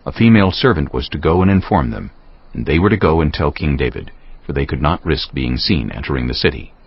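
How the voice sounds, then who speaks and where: A man narrating scripture as a dramatic audio production, in a solemn, deliberate storytelling manner. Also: very deep voice, expressive, husky, dry recording, lo-fi sound. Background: music.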